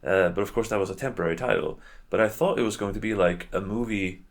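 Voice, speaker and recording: A man with a very low voice and clear vocal fry talks through his material as a video host. His delivery is casual and unscripted, halting as he searches for words and rambling with small qualifications. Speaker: video host reviewing games and adaptations.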